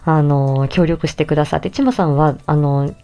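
Low-pitched, softly mellow woman chatting solo. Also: normal speed, smooth. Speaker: voice actor presenting own work. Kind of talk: casual solo announcement talk.

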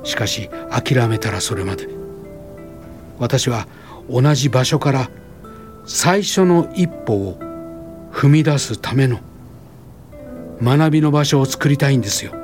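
An actor in a radio drama playing an idealistic, gently persuasive school founder, speaking courteously and deliberately. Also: male voice, mid-range voice, lively intonation, soft background music, lightly acted. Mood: earnest.

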